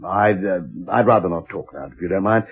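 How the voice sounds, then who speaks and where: A man's voice: low voice, quick pace, husky. An actor in a radio drama playing a nervous, evasive married Englishman with a hesitant, polite, stammering way of talking. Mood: flustered. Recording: muffled, narrow-band old radio recording.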